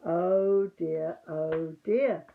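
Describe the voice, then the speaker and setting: A woman's voice: on the low side, drawn-out words, gravelly, phone-quality sound, slight background noise, exaggerated voice. Woman playing a timid, fretful little piglet, Piglet. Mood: anxious. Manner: fretful, exclaiming. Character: small timid male piglet, anxious and easily panicked.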